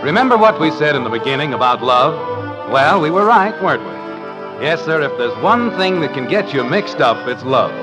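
An actor playing a wry, folksy narrator for a 1950s radio comedy. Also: male voice, a little high, big pitch swings, normal speed, poor audio. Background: prominent music.

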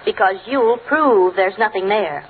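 Thin old radio, actress as gentle American housewife. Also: female voice, mid-range voice, a little slow, natural voice. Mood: gossipy, hushed concern. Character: gentle, chatty middle-aged American wife and mother. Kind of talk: 1940s radio comedy acting. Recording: hissy, narrow-band old radio recording.

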